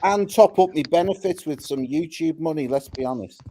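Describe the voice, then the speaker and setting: A man's voice: on the high side, normal speed, dark tone, close to an everyday voice. A man playing a brash, foul-mouthed Scouse chancer who baits the police, with a Scouse accent, swaggering and calling everyone lad and mate. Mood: swaggering rant. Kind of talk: comic put-on character on a live chat.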